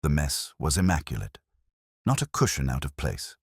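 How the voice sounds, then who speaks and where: Deep husky male mystery narrator. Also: sing-song, no room sound, studio quality. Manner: measured, storytelling.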